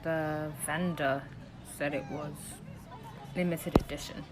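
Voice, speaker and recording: A woman's voice: sits low, steady tone, takes it slowly, very noisy. An actress playing a guarded, protective young woman in an audio play, speaking in short, evasive phrases. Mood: awkward. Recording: busy crowd murmur behind.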